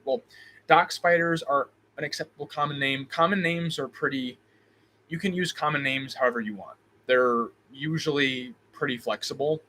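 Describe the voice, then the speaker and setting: A man's voice: medium pitch, bright, forceful tone, a little hiss or hum. A man from Illinois with a slight Midwestern accent, hosting a live Q&A. He reads viewer questions aloud and answers off the cuff, with plenty of ums and restarts. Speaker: host. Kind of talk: live stream Q&A.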